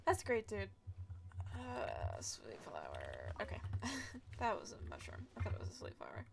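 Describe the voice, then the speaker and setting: A woman's voice: mid-range voice, wildly swooping pitch, normal speed, creaky. A woman chatting casually as she livestreams a game, sounding tired and often muttering to herself. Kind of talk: casual game stream commentary. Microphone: streaming mic over game audio. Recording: faint noise, clicks, home stream.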